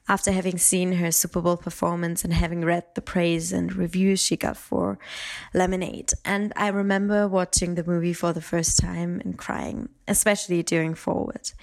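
A woman's voice: lowish voice, flat delivery, moderate pace. A woman from Germany leaving a sincere, grateful recorded listener message.

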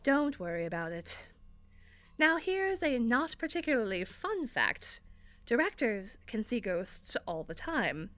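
A woman's voice: fairly high pitch, sing-song, a little slow, a bit bright, no echo. A woman playing an exasperated, sardonic theater director in an audio drama, bossy but encouraging. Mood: brisk, annoyed. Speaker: actor in an audio fiction podcast. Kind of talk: acted audio drama dialogue.

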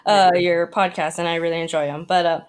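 A woman's voice: fairly low pitch, steady tone, a little fast, smooth. A young woman from Hawaii, a cook, chatting as a podcast guest in a friendly, casual way, full of "like" and "uh". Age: young adult. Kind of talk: casual interview chat.

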